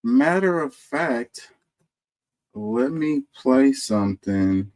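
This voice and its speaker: This is a male host with a middle-pitched voice. He reads a scripted civic message in an earnest, measured way. Off-script, he turns loud and chatty with his audience. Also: expressive. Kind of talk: scripted voice-over narration and casual live talk.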